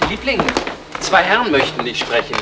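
A man's voice: high voice, sing-song, normal speed, over loud music. A man playing a courteous, agreeable husband in a retro German radio crime play. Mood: polite.

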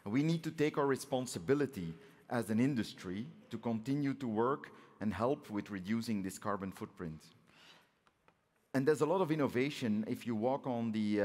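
A man delivers an opening speech from the stage of a large auditorium. His voice sits fairly low and carries the long reverb of the hall. He phrases things deliberately, explaining like a prepared presenter. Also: darkish tone. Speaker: council president giving opening keynote. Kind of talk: prepared conference speech with slides.

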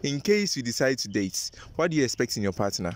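Lively young man interviewing students outdoors. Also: mid-range voice, a little fast, background noise. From Ghana. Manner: teasing, laughs often, animated. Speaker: street interviewer. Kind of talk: playful campus vox pop. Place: outdoor university campus. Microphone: handheld mic.

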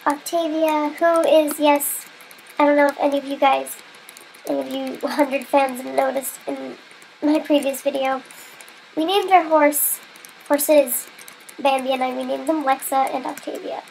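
A woman's voice: sits high, steady tone, unhurried, gravelly, darkish tone, a little hiss or hum, poor audio. A young woman giving casual, giggly commentary over her gameplay, her nose stuffed up as she comes down with a cold. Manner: chatty, giggly, self-mocking. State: stuffy nose, getting sick. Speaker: gamer.

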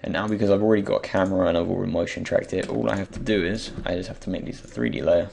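A man with a croaky, just-woken voice gives an unscripted software tutorial, hesitantly thinking aloud as he goes. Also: deep voice, steady tone, rapid-fire. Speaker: tutorial presenter. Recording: faint background noise, keyboard and mouse clicks.

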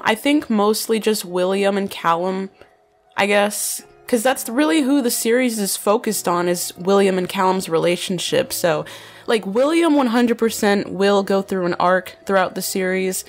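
A young woman chatting casually while answering fan questions, filling her talk with "like" and "bro", her voice slightly nasal. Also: medium pitch. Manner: chatty, many fillers like "like" and "bro". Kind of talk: casual chat.

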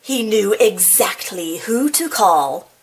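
A woman reading a children's picture book aloud in an expressive, playful way, with casual, chatty asides. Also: mid-range voice, slow, noisy background. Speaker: storybook reader.

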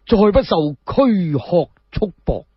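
Man as a very slow, mournful envoy. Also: high-pitched, big pitch swings, breathy edge, no echo, exaggerated voice. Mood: grieving. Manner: slow, declaimed, grieving. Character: solemn male envoy reciting a mournful royal eulogy. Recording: dull muffled recording.

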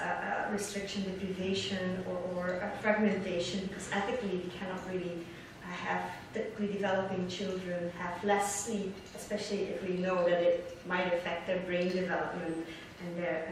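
Echoey, distant woman lecturing haltingly. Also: on the low side, little pitch movement. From Belgium. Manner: halting, searching for words, repeats words. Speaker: academic researcher giving a keynote lecture. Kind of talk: conference lecture, explanatory. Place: large lecture hall, indoors, at a lectern. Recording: echoey hall from far off, background noise.

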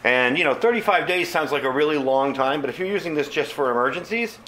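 A man's voice: a little high, lively intonation, fast talker, bright, forceful tone. A man giving a casual, unscripted product review as a channel host, chatting informally to his viewers. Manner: informal, conversational, addresses viewers as folks.

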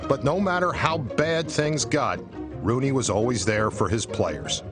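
A man's voice: mid-range voice, a little slow. A man with an American accent reading scripted documentary narration. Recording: music behind the voice. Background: background music.

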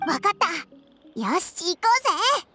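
A woman voicing a tiny, brash, excitable fairy companion with a squeaky childlike voice and boyish casual speech in a game. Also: moderate pace, close to an everyday voice. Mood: eager. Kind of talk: Japanese anime game dialogue. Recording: game music loud behind.

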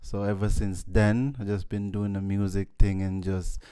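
Laid-back Jamaican man with smooth voice. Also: on the low side, level tone, normal speed, dark tone. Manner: laid-back, chatty, peppered with "you know" and "you understand". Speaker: guest musician. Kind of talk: casual interview. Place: living room with mirrored wall decor. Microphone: studio mic on boom arm.

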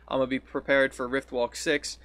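A young man with a deep, raspy morning voice, chatting casually as a game streamer into a close studio mic in a small home room, rambling and correcting himself as he goes. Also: little pitch movement, a little fast, a bit bright, no room sound. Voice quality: deep and raspy after waking. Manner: rambling, self-correcting, friendly. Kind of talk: casual gaming vlog talk. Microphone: studio mic on boom with pop filter, headphones.

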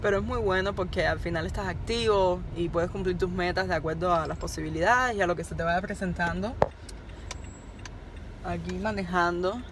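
A woman's voice: fairly low pitch, moderate pace. A young woman from Cuba with a Cuban Spanish accent, chatting casually to her phone camera while driving, rambling and upbeat. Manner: rambling, upbeat and encouraging, laughs at herself. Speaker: vlogger. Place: inside a car, parked then driving at dusk. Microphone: phone camera held at arm's length. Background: faint car and road noise.

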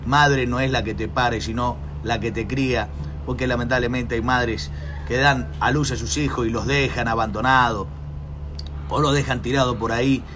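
A man's voice: mid-range voice, steady tone, normal speed, music in the background. A man in his forties with an Argentine Spanish accent, a pastor chatting on a phone livestream, jokey and exclamatory, tagging lines with "eh". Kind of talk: casual livestream chat. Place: outdoor terrace in hot sun. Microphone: phone at arm's length.

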